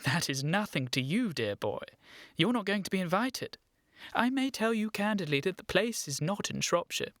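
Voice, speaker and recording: Animated, proper, defensive English gentleman. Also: sits high, normal speed, no room sound, clean studio sound. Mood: stiff, guarded. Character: earnest, evasive, easily flustered young English gentleman. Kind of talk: comic radio play acting.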